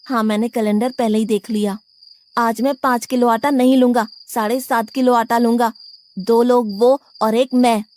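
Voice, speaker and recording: Woman voicing a high-pitched, blunt, hot-headed young boy. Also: steady tone, normal speed, a little mellow, close to an everyday voice. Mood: stubborn. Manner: blunt, complaining. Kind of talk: animated moral-story acting.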